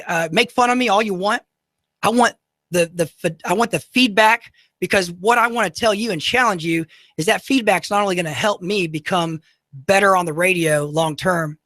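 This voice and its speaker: A man with a high, slightly goofy voice hosting local talk radio, talking to listeners directly in a folksy, salesy way. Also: bright, forceful tone, dry recording. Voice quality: high-pitched, goofy-sounding voice. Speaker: radio show host. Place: radio studio.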